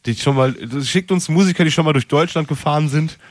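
A man's voice: fairly high pitch, a little fast, no room sound, studio quality. A man hosting a casual, comedic radio show in a rambling, slangy, jokey way.

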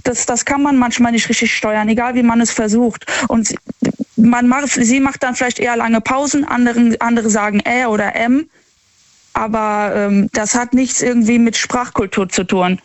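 High-voiced, halting young male caller. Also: steady tone, no echo. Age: young adult. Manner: halting, repeats words and syllables when nervous. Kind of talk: casual opinionated phone-in talk.

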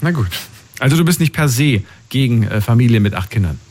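A man's voice: medium pitch, expressive, fairly quick, darkish tone. A man hosting a call-in talk show, speaking in a casual, conversational, questioning way with callers. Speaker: radio call-in show host.

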